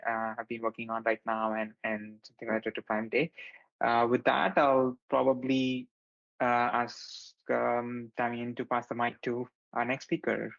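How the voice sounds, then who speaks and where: A man's voice: medium pitch, gravelly, lo-fi sound. A man on a work panel speaking hesitantly, often adding "right?". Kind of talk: casual work talk.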